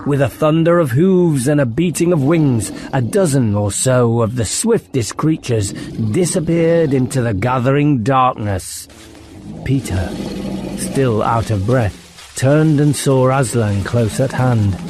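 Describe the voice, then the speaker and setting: A man's voice: mid-range voice, expressive, takes it slowly, noisy background. A man reading a children's fantasy audiobook as narrator, in a dramatic storytelling style.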